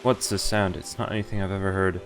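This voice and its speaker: A man's voice: deep voice, flat delivery, fast. A man streaming a game, reading its story text aloud in an informal way. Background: game music.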